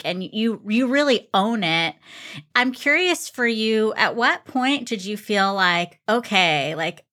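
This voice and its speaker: A woman's voice: medium pitch, bright, forceful tone. A woman hosting a podcast and introducing guests in an upbeat, welcoming manner. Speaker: podcast host, executive coach.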